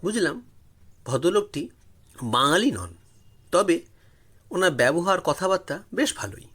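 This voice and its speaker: A man's voice: high voice, big pitch swings, unhurried, fairly bright tone, slightly rough. A man narrating a first-person story as a storyteller in a dramatic style. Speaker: storyteller, channel host.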